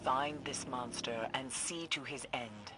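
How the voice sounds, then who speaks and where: A woman's voice: very deep voice, slow pace. An actress playing a grave, haunted female survivor, giving solemn and foreboding briefings in a video game. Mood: ominous, commanding. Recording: loud noise behind the voice.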